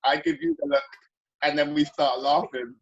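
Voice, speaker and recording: An earnest, affectionate man talks warmly to a friend over a video call. His voice sits slightly high for a man. The audio is dull and lo-fi. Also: huge pitch swings, moderate pace, vocal fry. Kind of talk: casual heartfelt chat.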